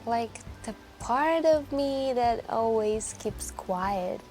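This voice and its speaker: A woman playing a wistful, lonely stranger in an intimate, soft-spoken ASMR roleplay, hesitant and confiding as she rambles. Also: a little high, animated, takes it slowly. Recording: soft background music. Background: quiet background music.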